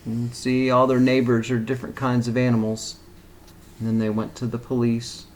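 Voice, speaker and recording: A man with a mid-pitched voice reads a storybook aloud as a teacher would for kindergarteners. He narrates the tale and steps out of it with simple explanatory asides, speaking directly to young listeners. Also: completely flat delivery, normal speed, darkish tone, a little hiss or hum, poor audio. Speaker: teacher reading a picture book aloud to kindergarteners.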